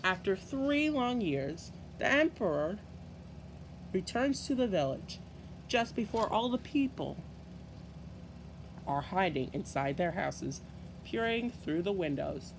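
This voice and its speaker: A man reading a children's story aloud in an expressive, deliberate way with halting phrasing. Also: high voice, gravelly, background noise. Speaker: storybook reader.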